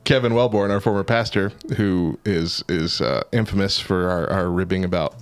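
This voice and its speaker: Low-voiced joking stream host. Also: male voice, moderate pace, smooth, soft background music. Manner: jokey, laughs often. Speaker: co-host of a live-streamed morning show. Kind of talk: casual chat on a live stream.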